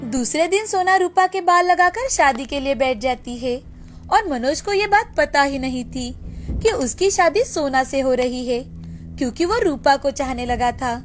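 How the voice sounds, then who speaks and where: A woman's voice: high voice, expressive, measured pace, a little hiss or hum. A woman storyteller reading scripted moral tales as a narrator.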